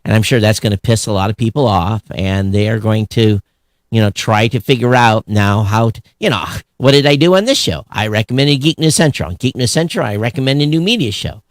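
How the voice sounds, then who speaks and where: A man's voice: on the low side, a little fast, no room sound, clean studio sound. A man talking casually and bluntly as a podcast co-host about his industry.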